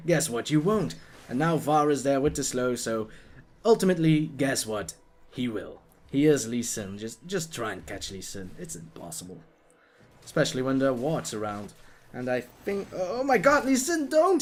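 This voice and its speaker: A young man with a Dutch accent giving excitable, jokey live commentary on a video game, talking to the players on screen. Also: a little high, slight background noise.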